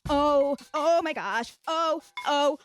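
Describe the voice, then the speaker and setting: A woman's voice: sits high, takes it slowly, soft background music. A woman playing a polite, self-aware female adventurer who is easily flustered and hesitantly repeats her words in a tabletop game. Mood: flustered, alarmed.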